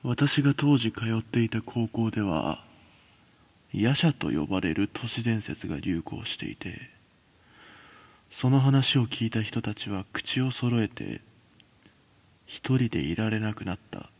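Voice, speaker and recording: A man narrating a scripted horror story as a podcast narrator, in a measured, dramatic way with pauses. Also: on the low side, expressive, darkish tone, breathy edge.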